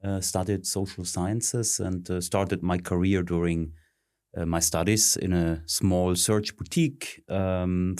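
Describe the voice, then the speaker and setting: A middle-aged man with a very low voice talks through his career. He pauses often, filling gaps with ums and uhs. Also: darkish tone. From Switzerland. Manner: halting, many ums and uhs. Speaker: interview guest, search consultant. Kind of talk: conversational interview.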